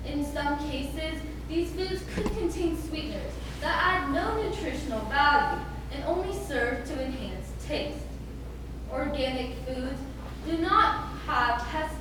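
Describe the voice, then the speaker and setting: A young woman delivering a rehearsed student speech in an earnest, emphatic, carefully phrased way. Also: sits high. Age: young adult. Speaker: student speaker. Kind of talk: rehearsed public speech, TED-style talk. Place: indoor stage in a reverberant room. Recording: echoey room from far off, noisy background.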